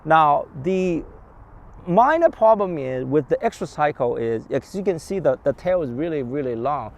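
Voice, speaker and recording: Male presenter, slightly high voice, halting informal walkthrough style, recorded outdoors with vehicles around. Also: sing-song, gravelly, highs cut off. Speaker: bike shop presenter.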